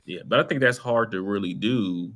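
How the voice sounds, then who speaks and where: An American man chatting casually as a podcast co-host, animated and slangy, quick to interject. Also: on the low side. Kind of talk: casual chat.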